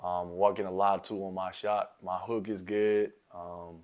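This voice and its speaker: Young man, from South Sudan, low voice, flat delivery, relaxed, lots of fillers, college athlete interviewee. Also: unhurried. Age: young adult. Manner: laid-back, many fillers like you know and um. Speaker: college basketball player guest. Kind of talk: casual interview answers.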